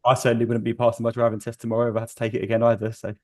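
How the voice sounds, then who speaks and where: A man's voice: medium pitch, completely flat delivery, rapid-fire, no echo, studio quality. A man speaking as a recruitment business director on a webinar panel, matter-of-fact and businesslike, over a video call.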